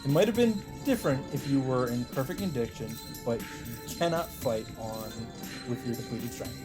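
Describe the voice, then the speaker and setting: A man's voice: medium pitch, lively intonation, moderate pace. A man reading a visual novel aloud as a let's player, in dramatic narration. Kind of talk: dramatic read-aloud narration with casual asides. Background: game music.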